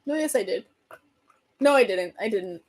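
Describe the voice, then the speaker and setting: A woman hosting a casual livestream, rambling and answering viewer comments, her voice getting hoarse from talking so much. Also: medium pitch, lively intonation, moderate pace, darkish tone. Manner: chatty, rambling, reads and answers comments, laughs often. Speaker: livestream host chatting with viewers.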